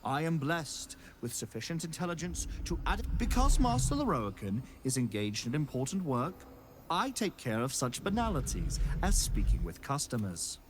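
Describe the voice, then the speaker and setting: A man's voice: a little high, sing-song, normal speed, background noise. A man playing a polite, pompous, officious magical construct clerk in a fantasy video game, speaking in formal, wordy sentences. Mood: proudly formal. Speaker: shop attendant.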